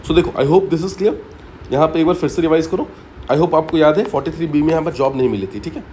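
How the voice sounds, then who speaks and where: A man's voice: on the high side, fast talker, dark tone, slight background noise. A man teaching exam students by telling a story, explaining step by step and often repeating key points with short English asides. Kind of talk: story-based mnemonic lesson.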